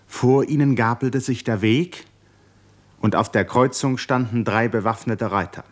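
An actor as the grave narrator of a 1950s German radio drama, telling the story in a measured, literary manner. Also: male voice, mid-range voice, natural voice. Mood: solemn.

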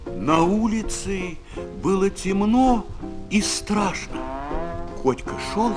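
A man narrating a children's audio tale as an earnest storyteller, measured and with dramatic pauses. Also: high-pitched, expressive, loud music behind the voice. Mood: hushed, sympathetic. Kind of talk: scripted narration. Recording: 1970s flexi-disc recording.

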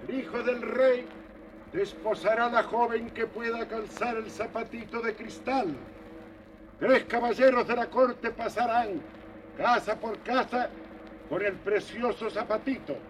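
A very high, far-off town crier calls out slowly and solemnly over noisy background. His declamation is theatrical, from a storybook kingdom. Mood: solemn proclaiming. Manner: declamatory, proclaiming. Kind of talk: theatrical children's story record acting. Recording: distant, noisy old story record.

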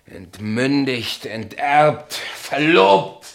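A man acting in a German radio play as a naive, upright young gentleman who speaks plainly and bluntly. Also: mid-range voice, lively intonation, extremely slow, gravelly, a little hiss or hum, full character voice. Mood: indignant, agitated. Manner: plain-spoken, forthright.

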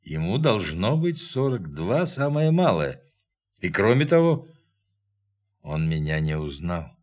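An observant crewman with a deep voice. He reports to his superior soberly and precisely, in radio-drama style. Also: sing-song, slow pace, darkish tone, exaggerated voice. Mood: serious, puzzled. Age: 40s. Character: sober, observant male spaceship crewman in forties. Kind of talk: Russian radio play acting.

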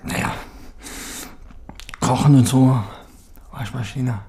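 A man's voice: mid-range voice, fairly even intonation, slow, warm tone, natural voice. An actor playing a defensive ex-convict and bereaved father under questioning, speaking in terse, evasive fragments in a naturalistic radio drama. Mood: sullen, guarded.

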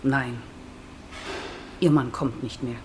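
A woman's voice: a bass voice, fairly even intonation, slow, darkish tone, slight background noise. An actress playing a stern, protective female hospital nurse in a radio play, formal and reproving.

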